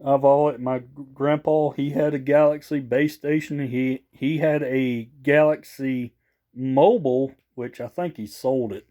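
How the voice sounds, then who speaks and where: A man's voice: medium pitch, fairly even intonation, measured pace, mellow, lo-fi sound. A slightly tired man, rambling casually in a folksy, unscripted show-and-tell for a vlog. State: a little tired after work. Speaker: vlogger showing off a new CB radio.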